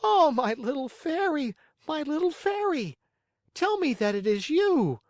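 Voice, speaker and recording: A man voicing a whiny, eager marionette boy in a storybook, with a high childlike voice and pleading, dramatic delivery. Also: sing-song, unhurried, no room sound, clean studio sound, full character voice. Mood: tearful, overwrought. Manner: pleading, emphatic, exclaiming. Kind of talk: audiobook character acting.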